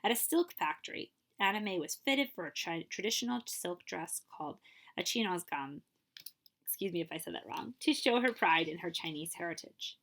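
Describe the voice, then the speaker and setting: A woman librarian reading a picture book aloud at a steady storytelling pace, now and then stopping for a short aside to her listeners. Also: lowish voice, normal speed.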